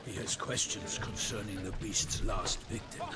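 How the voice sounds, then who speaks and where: An actor playing a pompous, impatient, excitable knight in a fantasy game, speaking in theatrical, archaic phrases. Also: male voice, fairly low pitch, a little slow, loud music behind the voice, lightly acted. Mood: exasperated. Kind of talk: fantasy video game dialogue.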